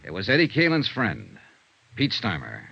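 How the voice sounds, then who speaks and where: Low-voiced hardboiled radio detective. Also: male voice, wildly swooping pitch, normal speed, gravelly, full character voice. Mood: somber. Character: wry, hardboiled male private investigator narrating his case. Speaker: narrator and lead detective. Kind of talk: 1950s radio detective drama acting. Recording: dull vintage radio recording with loud music.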